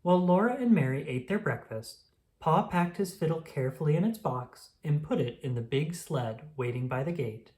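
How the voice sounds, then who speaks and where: A storyteller reading a children's picture book aloud in a slow, gentle manner. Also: mid-range voice, expressive.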